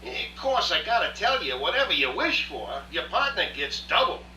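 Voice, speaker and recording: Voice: mid-range voice, big pitch swings, normal speed, pushed and bright. An actor playing a wisecracking, streetwise genie with a New York accent and slangy, chummy patter in a live radio drama. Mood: brash, chummy. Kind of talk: stage radio drama acting. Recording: roomy far-off rehearsal recording, faint hiss.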